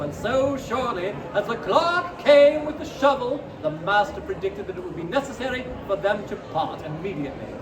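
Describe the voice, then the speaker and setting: A man giving a theatrical live reading of a classic story, savouring each phrase. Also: very high-pitched. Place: live performance at a busy indoor fair. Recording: echoey crowded fair hall, distant noisy mic. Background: crowd noise.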